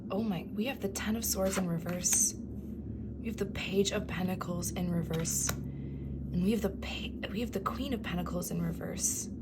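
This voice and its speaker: A woman reading tarot cards for her viewers, speaking softly and affirmingly, often slipping into a whisper, her voice going from a cough. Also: fairly low pitch, normal speed, very noisy. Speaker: tarot reader. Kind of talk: pick-a-card tarot reading.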